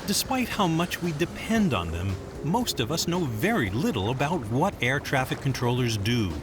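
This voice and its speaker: A man's voice: mid-range voice, very animated, normal speed, music in the background. A man reading scripted documentary narration as the narrator.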